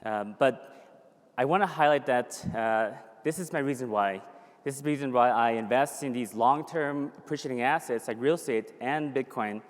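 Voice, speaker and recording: Man pitching in a reverberant conference hall. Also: moderate pace. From born in a refugee camp in Thailand, raised in the US. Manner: upbeat, pitching, with fillers and restarts. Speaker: conference speaker. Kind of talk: conference presentation.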